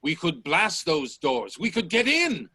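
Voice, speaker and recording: A man's voice: a little high, very animated, unhurried, bright, forceful tone, breathy edge, dry recording, full character voice. A man acting a bold, urgent professor and inventor in a radio play, with a commanding, emphatic delivery. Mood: eager, excited.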